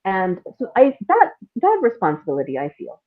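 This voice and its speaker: A woman's voice: mid-range voice, wildly swooping pitch, normal speed. A woman guest talking casually and candidly in an interview, thinking aloud in an informal way. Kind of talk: casual reflective interview talk. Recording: narrow, phone-like call audio.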